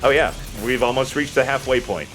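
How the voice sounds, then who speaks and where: A man's voice: medium pitch, a little fast, a bit bright, faint music behind. A man giving casual, explanatory commentary as he plays a video game. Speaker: let's play host.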